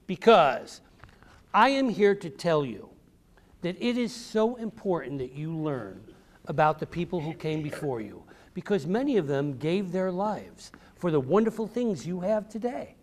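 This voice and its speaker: A man's voice: a little high, big pitch swings, a little slow. A man giving an educational talk to children as a visiting author, addressing them directly and repeating questions and key phrases. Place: public library room with a group of children.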